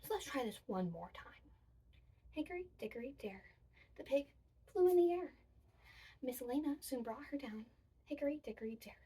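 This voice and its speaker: A woman's voice: high voice, expressive, moderate pace, pauses often, mellow, breathy edge, recorded from a distance. A woman presenting a children's storytime, explaining activities and reading picture books in a sing-song, emphatic way.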